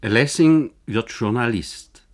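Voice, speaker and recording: A man giving scripted documentary narration for radio, declarative and deliberate in his phrasing. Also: mid-range voice.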